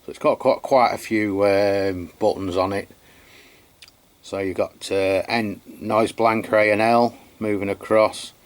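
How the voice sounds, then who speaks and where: An Englishman giving an unscripted product review and demonstration, chatty and matter-of-fact, with a halting delivery. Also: lowish voice, fairly even intonation. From England. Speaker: radio reviewer.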